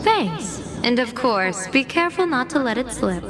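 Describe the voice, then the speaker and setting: A woman's voice: on the high side, sing-song, normal speed. An actress voicing a playful, mysterious woman general in a video game, teasing and coy, with music behind her. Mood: coy, earnest. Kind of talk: scripted video game dialogue.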